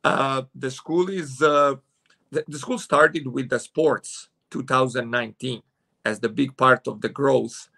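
A man's voice: medium pitch, fairly even intonation, unhurried, vocal fry, sharp tone. A man in his forties from Serbia with a Serbian accent, a futsal coach speaking hesitantly with many fillers on a video call from home. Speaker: futsal head coach, guest. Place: room at home with curtains.

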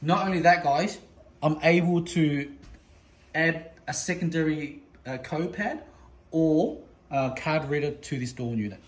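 Male product demonstrator, slightly high voice, halting and repetitive, echoey noisy room. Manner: halting, repetitive, addressing viewers as guys. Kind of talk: unscripted product demo. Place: indoor room, desk demo. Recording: echoey room with background noise.

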